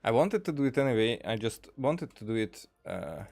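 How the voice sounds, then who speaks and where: A man livestreaming a game in a casual, rambling way, often halting and trailing off mid-thought. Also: mid-range voice, sing-song, gravelly, a little mellow. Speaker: game streamer. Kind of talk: casual livestream chat over gameplay.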